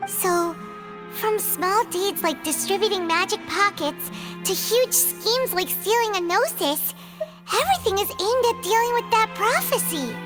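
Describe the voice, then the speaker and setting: A woman voicing a tiny, childlike fairy companion in a video game, chirpy, blunt and curious, with a squeaky voice. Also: expressive, unhurried. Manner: exclaiming, refers to self in third person. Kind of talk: video game cartoonish voice acting. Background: prominent background music.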